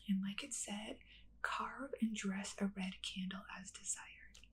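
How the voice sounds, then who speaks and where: Whispering woman in gentle ASMR roleplay. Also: mid-range voice, steady tone, a little slow. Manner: gentle, reassuring, instructional. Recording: clearly audible ambient noise.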